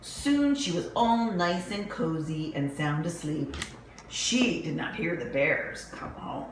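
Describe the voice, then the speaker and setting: Animated, unhurried woman reading a picture book. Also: deep voice. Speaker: children's librarian reading stories. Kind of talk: expressive picture-book read-aloud for young children. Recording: roomy echo with faint background noise.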